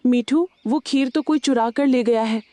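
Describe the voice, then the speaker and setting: A woman's voice: a little high, moderate pace, dark tone, no echo, pitched above the performer's own voice, natural voice. A woman voicing a fretful, dramatic female bird in a children's cartoon. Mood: worried. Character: anxious, excitable female bird who cooks. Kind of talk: Hindi children's cartoon dub.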